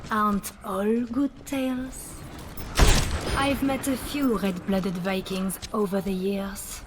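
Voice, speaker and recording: A woman's voice: mid-range voice, fairly even intonation, takes it slowly, lightly acted. An actress playing a dry, teasing ghostly spirit woman in a game. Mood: wry. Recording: game audio with loud background noise.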